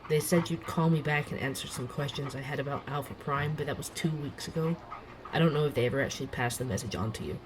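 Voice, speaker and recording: A man acting a nervous, eager young barista and podcaster in an urban fantasy audio drama, halting and apologetic. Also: fairly high pitch, noisy background, lightly acted. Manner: halting, self-interrupting, awkward.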